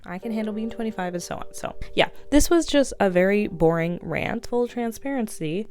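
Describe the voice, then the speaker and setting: A woman's voice: medium pitch, lively intonation, normal speed, a little mellow. A woman in her twenties talking casually to camera in a rambling vlog, filling her speech with "like". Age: 20s. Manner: chatty, fills speech with "like", rambling. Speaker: vlogger. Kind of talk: casual reflective vlog monologue.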